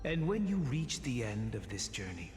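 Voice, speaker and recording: A man's voice: mid-range voice, big pitch swings, moderate pace, lightly acted. A man playing a solemn, kindly sage and mentor in a video game, speaking formally and paternally. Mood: solemn, encouraging. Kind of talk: dramatic video game voice acting. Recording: echoey long reverb, music behind.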